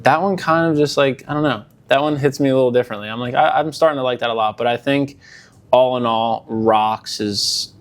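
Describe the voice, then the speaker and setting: A young man in a laid-back interview chat, voice sitting in an ordinary middle pitch. He rambles loosely, leaning on filler words as he thinks aloud. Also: fairly quick. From Delaware County, Pennsylvania. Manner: relaxed, rambling, many fillers like "like". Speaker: musician guest.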